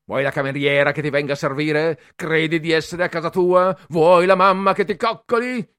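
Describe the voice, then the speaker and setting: A man's voice: on the high side, steady tone, moderate pace, clean tone, no echo, clean studio sound, voice pushed up, natural voice. A man voicing a bullying young male cadet who barks harsh, sneering orders and mocks the boys. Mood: barking, bullying. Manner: barked orders, sneering shouts.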